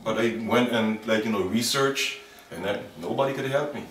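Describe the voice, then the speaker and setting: Low-voiced Bahamian man, halting and earnest. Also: fairly bright tone, distant mic. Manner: earnest, halting, with many fillers and restarts. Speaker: interviewee. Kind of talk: documentary interview. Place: outdoors by a wall in a yard. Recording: echoey, off-mic camera sound.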